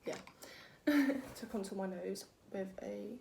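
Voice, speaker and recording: A young woman with a croaky voice, chatting casually through a makeup tutorial and making fun of herself as she works. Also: medium pitch, slow, dark tone. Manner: chatty, self-deprecating, talks while working. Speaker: beauty vlogger. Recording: echoey room with faint noise.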